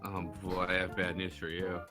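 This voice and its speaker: A woman co-hosting a live stream in casual, excitable group banter, swearing and exclaiming freely. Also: very deep voice, normal speed, over music. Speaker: stream co-host.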